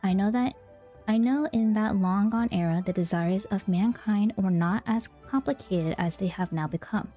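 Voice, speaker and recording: A woman's voice: medium pitch, normal speed, warm tone. A woman game streamer giving casual playthrough commentary and reading game text aloud. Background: game music.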